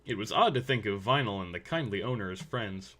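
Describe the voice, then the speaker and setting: A man's voice: on the low side, expressive, brisk, sharp tone. A man reading scripted story narration as the narrator.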